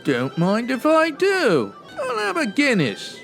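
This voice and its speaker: Chatty Cockney barman with lively pitch. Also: takes it slowly, creaky, over music, exaggerated voice. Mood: chummy. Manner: chummy, colloquial. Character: friendly Cockney bartender, male, chatty, protective of a local lady. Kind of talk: comedy audio drama acting.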